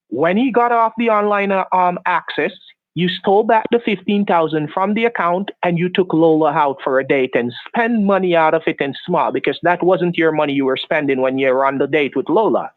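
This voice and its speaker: A man on a phone call, speaking in halting accusations and repeating himself. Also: high-pitched, no echo. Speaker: phone scammer. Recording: tinny dry phone line.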